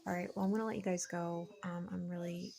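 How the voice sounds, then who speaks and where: A tired woman with a cracking, slightly hoarse voice, chatting casually to viewers as a vlogger in a hesitant, meandering way, peppered with "like" and "you know". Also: lowish voice, steady tone, quick pace, mellow. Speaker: vlogger and online reseller. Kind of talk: casual rambling chat to viewers.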